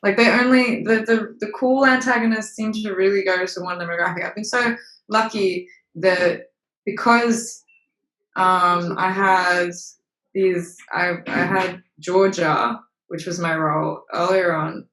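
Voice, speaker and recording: A woman's voice: fairly low pitch, fairly even intonation, measured pace, fairly bright tone. A young woman from Australia, an actress being interviewed, speaking frankly with casual swearing and many fillers. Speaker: actress and model, interview guest. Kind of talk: candid interview conversation. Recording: roomy echo.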